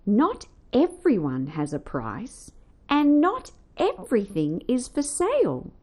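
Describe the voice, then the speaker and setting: A woman's voice: a little high, huge pitch swings, slow, darkish tone, full character voice. A woman voicing a polite but firm young girl in a children's storybook, earnest and resolute.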